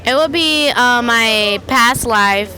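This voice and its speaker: A woman's voice: a little high, takes it slowly, pushed and bright. A woman speaking openly and emotionally outdoors. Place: outdoors in a city. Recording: busy street crowd around.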